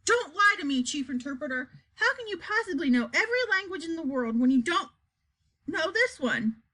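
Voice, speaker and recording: Woman as a high, whiny, flustered president. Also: sing-song, normal speed, sharp tone, full character voice. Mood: indignant. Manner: whiny, demanding, easily flustered. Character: childish, petulant, excitable male president. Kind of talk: comic children's storybook character voice.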